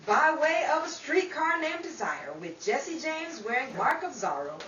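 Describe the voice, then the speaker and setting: A woman playing an excitable, scheming, starstruck partygoer in an old-time radio comedy, with theatrical, emphatic delivery. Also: high voice, measured pace, full character voice. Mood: excited, scheming. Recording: roomy, distant, muffled mic with noisy crowd.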